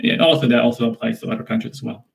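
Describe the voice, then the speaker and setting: Filipino man, measured and repetitive. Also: medium pitch, creaky. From Philippines. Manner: measured, repetitive, leans on "basically" and restarts. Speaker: interviewee. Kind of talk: casual interview answers.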